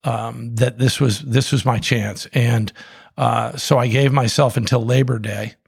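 A middle-aged man with a heavily gravelly, husky voice tells his story unhurriedly, circling back with restarts and fillers. The sound is close and dry, with no room around it. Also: mid-range voice, fairly even intonation, measured pace, no room sound. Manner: rambling, many restarts and fillers. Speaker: guest, coffee company co-founder. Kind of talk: casual storytelling.

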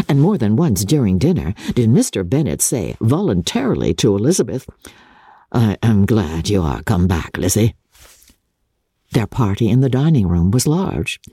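A woman reading classic fiction as an audiobook narrator, in a measured, expressive dramatic style. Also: rumbling low, slightly rough, no echo, studio quality.

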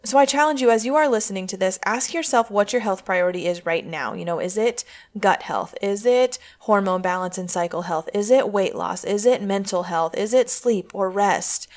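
A woman's voice: mid-range voice, moderate pace. A woman hosting a podcast as a coach, speaking in an earnest, encouraging way. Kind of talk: podcast intro and interview.

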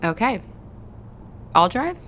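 A woman's voice: mid-range voice, big pitch swings, slow pace, fairly bright tone, a little hiss or hum. An actress playing a guarded, reserved woman in a naturalistic audio drama, giving understated, noncommittal answers. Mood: reserved, hesitant.

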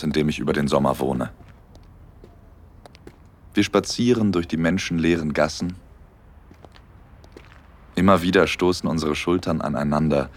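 A young man in love, in a romantic audio drama. His voice is deep and low. He speaks tenderly and intimately, as a smitten, slightly nervous storyteller. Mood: warm, slightly shy. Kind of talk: intimate first-person audio drama narration and dialogue.

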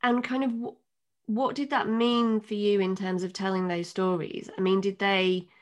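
A woman's voice: medium pitch, level tone, normal speed, background noise. A woman from England hosting an interview, asking questions in a hesitant, filler-heavy way. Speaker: interviewer, host.

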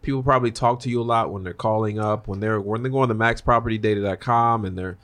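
Male podcast host, somewhat low voice, loose energetic interviewer. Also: fast. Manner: loose, excited, lots of fillers.

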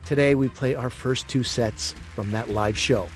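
A man's voice: medium pitch, a little slow, faint music behind. A man hosting a comedy podcast, reading an upbeat, scripted introduction to a live set. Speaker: podcast host.

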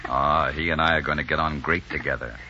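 A man's voice: rumbling low, fairly even intonation, fairly quick, bright, forceful tone, full character voice. An actor playing a brash, devoted romantic leading man who also narrates, in a 1940s radio drama. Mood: warm, reassuring. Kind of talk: 1940s radio acting with first-person narration. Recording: muffled old radio recording, noisy.

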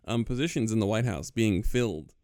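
A man co-hosting a podcast in casual chat, talking haltingly with many fillers. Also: lowish voice, a little mellow, no echo, clean studio sound. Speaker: podcast co-host.

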